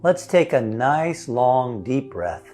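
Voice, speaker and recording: A man leading a guided meditation as a minister, speaking in a gentle, soothing, unhurried way with long pauses. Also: medium pitch, sing-song. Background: instrumental music.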